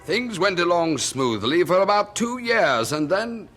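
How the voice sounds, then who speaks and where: A man's voice: high-pitched, very animated, measured pace, over loud music. A man narrating a scripted story in a dramatic old-time radio manner.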